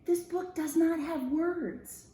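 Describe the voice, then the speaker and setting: A woman's voice: extremely high pitch, slow pace, warm tone, a little hiss or hum, pitched above the performer's own voice. A woman voicing a bossy, exasperated, self-important male mouse from a picture book, emphatic and scolding. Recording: roomy echo.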